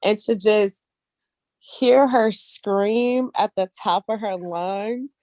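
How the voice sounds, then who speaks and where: A woman with a mid-pitched voice shares personal stories as a guest in a casual interview. She is earnest and animated, with colloquial phrasing and frequent check-ins with the listener. The sound is close and dry. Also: slow, dry recording. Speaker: guest, actress and casting director.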